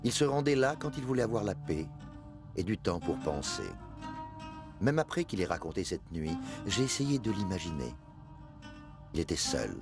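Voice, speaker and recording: An actor playing a devout, solemn companion of the Prophet, telling of hardship in grave, measured animated-documentary narration. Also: male voice, low-pitched, over music. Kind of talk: dramatized first-person narration for an animated documentary.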